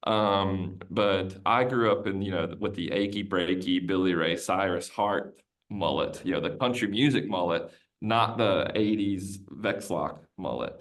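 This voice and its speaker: A man's voice: low-pitched, a little slow. A man, a podcast guest, chatting casually and telling a long rambling story, often looping back and restarting his sentences. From United States. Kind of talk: casual conversational interview.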